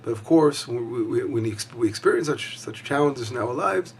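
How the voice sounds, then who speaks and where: A hoarse man teaching a weekly Torah lesson as a rabbi, talking conversationally with frequent fillers and restarts. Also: mid-range voice, lively intonation, a little fast, a little hiss or hum.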